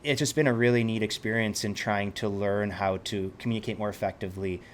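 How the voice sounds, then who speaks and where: A man's voice: on the low side, steady tone, a little fast, a little hiss or hum. A man from Switzerland, a helicopter pilot being interviewed, talking in a reflective way and often restarting his sentences as he searches for words. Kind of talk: casual conversation.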